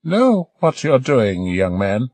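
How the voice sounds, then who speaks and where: A man voicing a spry elderly woman, a grand old stage star, brisk and sharp-tongued but amused. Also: mid-range voice, sing-song, no room sound, pitched above the performer's own voice. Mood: sly, amused. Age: older.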